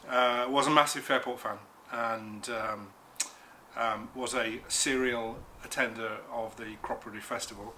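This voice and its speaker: Bright-voiced English man from Nottingham. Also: mid-range voice, steady tone, unhurried, a little hiss or hum. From Nottingham, England. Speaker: guest, graphic designer. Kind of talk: casual chat. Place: outdoor back garden.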